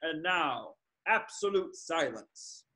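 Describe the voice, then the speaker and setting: A man's voice: extremely high pitch, animated, fairly bright tone, full character voice. A man playing a showy, easily amazed cartoon contest announcer with a dramatic delivery. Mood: ceremonious. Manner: drawn-out, dramatic. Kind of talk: cartoon sports commentary.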